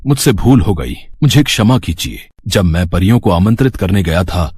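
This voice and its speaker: A humble king and anxious father from a storybook, acted by a man. The voice is low and husky, the speech earnest and formal. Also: animated, moderate pace, darkish tone, no room sound. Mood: apologetic pleading.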